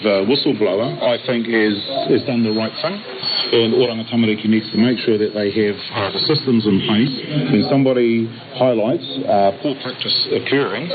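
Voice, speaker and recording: A man giving a hesitant spoken statement in a radio news clip. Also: lowish voice. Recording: very noisy narrowband shortwave radio clip.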